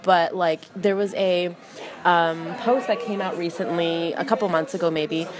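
Young woman comedian, fired-up, lower voice, noisy crowd around. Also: measured pace. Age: 20s. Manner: animated, fired-up, lots of "like". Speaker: guest, comedian. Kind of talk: casual podcast chat. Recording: crowd chatter behind.

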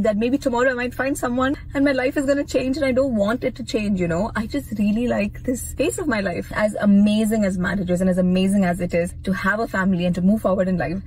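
A woman's voice: mid-range voice, darkish tone, slight background noise, low-quality recording. A woman in her twenties talking earnestly to camera, reflective and giving advice. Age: 20s.

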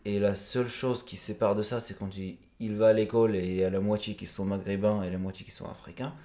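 Man, very low voice, mellow tone, earnest and reflective, podcast guest, roomy echo. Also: level tone, fast. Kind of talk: conversational interview.

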